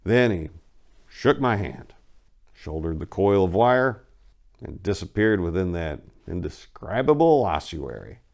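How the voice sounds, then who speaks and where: A man giving a deliberate, theatrical dramatic reading of a horror story as narrator, swelling on some words and dropping hushed on others. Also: deep voice, big pitch swings, vocal fry. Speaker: narrator, amateur story reader.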